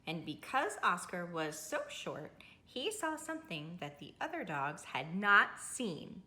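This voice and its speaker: A woman's voice: fairly low pitch, very animated, measured pace, pushed and bright. A woman reading a children's picture book aloud as a storytime host, with dramatic delivery and friendly asides to young listeners. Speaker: library storytime reader. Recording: roomy, echoing indoor recording.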